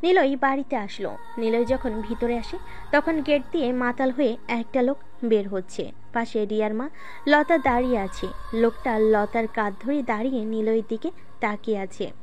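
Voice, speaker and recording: Female storyteller, fairly high voice, steady dramatic narration, faint music. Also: moderate pace. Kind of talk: scripted audio story narration.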